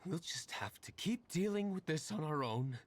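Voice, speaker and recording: A man's voice: on the high side, lively intonation, normal speed, a little hiss or hum, lightly acted. An actor playing a bitter, sarcastic, vengeful young male villager in a video game. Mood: scornful. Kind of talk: video game character dialogue.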